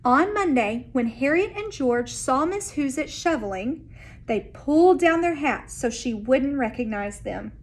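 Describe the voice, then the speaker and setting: A woman reads a picture book aloud as a teacher would to young pupils. Her voice is high and her pace slow and careful, with expressive phrasing. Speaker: elementary school teacher. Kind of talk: children's read-aloud narration.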